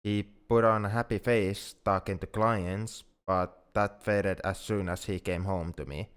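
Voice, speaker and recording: A man's voice: deep voice, steady tone, measured pace, clear, resonant tone. A man playing a shy, self-blaming young male alien in an ASMR roleplay, speaking in a hesitant, earnest way. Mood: wistful, sad. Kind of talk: ASMR roleplay audio drama.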